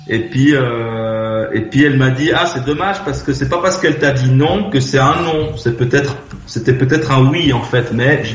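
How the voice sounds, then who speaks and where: Muffled, hesitant man on an echoey call. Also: mid-range voice. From Switzerland. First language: French. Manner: hesitant, searching for words. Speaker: radio phone-in caller. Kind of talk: casual storytelling chat. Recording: muffled, echoey internet call, music behind. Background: music.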